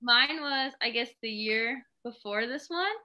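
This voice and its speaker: A woman's voice: a little high, a little slow, sharp tone. A woman improv performer casually telling an anecdote, giggly and laughing through her words.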